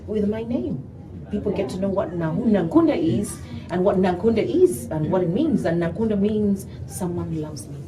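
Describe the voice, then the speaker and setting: A woman's voice: medium pitch, animated, moderate pace. A young woman from Uganda with a Ugandan accent, speaking animatedly as a gospel singer being interviewed, often saying "you know". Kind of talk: interview conversation. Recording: distant mic, noisy background.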